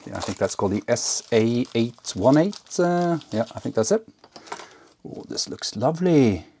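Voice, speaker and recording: A man's voice: mid-range voice, big pitch swings, moderate pace, dark tone, slight background noise. A man giving a casual hands-on demonstration, thinking aloud hesitantly as he works. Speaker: host of an electronics and ham radio build video.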